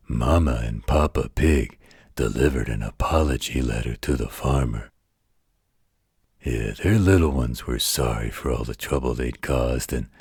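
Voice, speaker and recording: Man voicing a very deep, husky, gentle werewolf. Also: no room sound, clean studio sound, exaggerated voice. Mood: amused storytelling. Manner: folksy, slow, patient drawl with colloquial turns. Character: gruff, gentle protective werewolf telling a bedtime story. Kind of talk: bedtime story roleplay audio.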